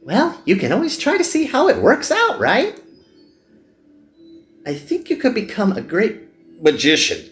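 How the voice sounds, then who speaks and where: A man voicing an earnest, gentle young magical girl in a visual novel, pleading and sincere. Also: high voice, wildly swooping pitch, measured pace, pauses often, roomy sound, soft background music, pitched above the performer's own voice, heavily acted. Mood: encouraging. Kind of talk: visual novel character dubbing.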